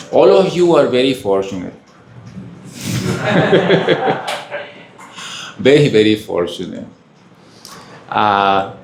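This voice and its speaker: A man from Peru with a Peruvian Spanish accent, a native Spanish speaker, giving a halting spiritual lecture full of fillers and questions to the audience. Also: medium pitch, a little hiss or hum. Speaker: monk giving a talk. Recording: echoey room.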